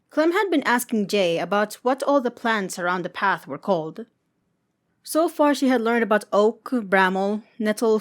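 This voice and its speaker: A woman reads prose fiction aloud as a narrator. Her voice sits at an average pitch for a woman. The delivery is scripted storytelling, in the manner of an amateur audiobook. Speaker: podfic narrator.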